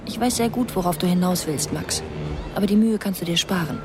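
A woman's voice: on the low side, a little fast, over music, lightly acted. A woman playing a resolute, earnest young pregnant woman in a naturalistic radio drama, speaking insistently. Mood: firm, urgent.

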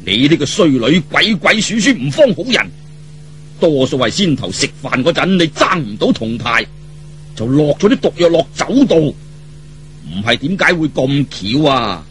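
A man's voice: fairly high pitch, very animated, unhurried, a bit bright, slight background noise. A man with a Cantonese accent voicing an angry, breathless Taoist priest in an audiobook, huffy, blustering and accusatory. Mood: huffy, blaming.

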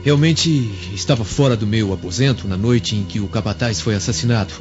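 An actor playing a cunning, vengeful young male slave who feigns deference in a radio drama, measured and insinuating, recorded muffled under music. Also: on the low side, lightly acted. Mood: deferential. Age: young adult.

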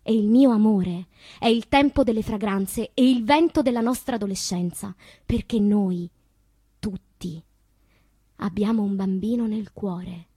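An actress playing a tormented, wistful woman poet in a theatrical monologue, dramatic and deliberate, with a breathy, husky voice. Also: medium pitch, no echo. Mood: impassioned. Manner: dramatic, slow deliberate delivery with hushed passages. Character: tormented woman poet recalling destructive loves, wistful and intense.

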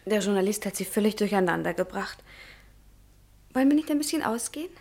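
A woman's voice: mid-range voice, animated, a little fast, a little mellow, close to an everyday voice. An actress playing a firm, caring young woman who stands her ground, in an old German radio play. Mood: gentle. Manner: firm, protective.

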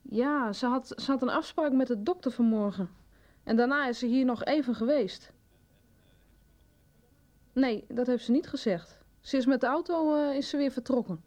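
An actress playing a discreet executive secretary in a radio soap, speaking in a courteous, careful, businesslike way. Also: female voice, sits high, normal speed, darkish tone, natural voice. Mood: polite, guarded.

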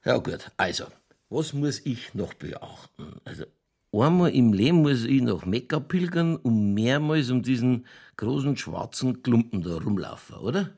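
An older Bavarian police chief in a radio crime play. His voice is husky and full of creak, and it moves up and down in lively swings. He talks in folksy dialect, drily soothing and slyly skeptical. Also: mid-range voice, measured pace, heavily acted. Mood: musing, skeptical. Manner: colloquial, dry, placating. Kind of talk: naturalistic radio crime drama acting.

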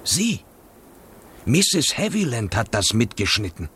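An actor playing an urgent, emotionally pressed kept lover and schemer in a German radio drama. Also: male voice, medium pitch, very animated, takes it slowly, a bit bright, slight background noise. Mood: secretive. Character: desperate kept lover, pleading, turning resolute schemer.

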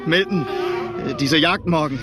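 An actor playing a polite house guest who grows uneasy about the hunt, in a radio drama. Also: male voice, fairly high pitch, moderate pace, close to an everyday voice. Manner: polite, admiring, growing uneasy. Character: wary guest, uneasy friend of the landowner. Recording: loud music under voice.

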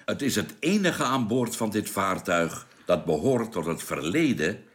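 A man's voice: fairly low pitch, very animated, measured pace. An actor playing a knowing space crewman in a radio drama, explaining things patiently. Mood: calm, certain.

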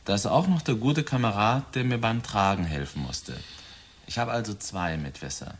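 Callous young killer, low husky voice, swooping intonation, cold flippant taunts, radio drama. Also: male voice, lively intonation, moderate pace. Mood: veiled threat. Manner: cold, flippant, mockingly insinuating. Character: cynical 23-year-old murderer taunting his father. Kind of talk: German radio drama acting.

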